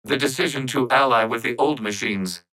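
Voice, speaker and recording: A man playing a calm, logical robot intelligence in a video game, speaking in a formal, precise, unemotional way through a synthetic filter. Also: mid-range voice, normal speed, clear, resonant tone, low-quality recording. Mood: flat, analytical.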